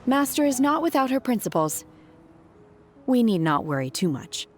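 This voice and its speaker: A woman playing a composed, formal young disciple in a video game, measured and earnest. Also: on the high side, big pitch swings, faint music behind. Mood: calm.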